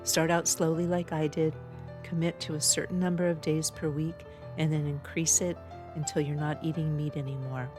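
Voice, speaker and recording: A woman's voice: low voice, level tone, normal speed, music in the background. A middle-aged woman reading a personal letter aloud in an earnest, heartfelt manner. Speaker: podcast host.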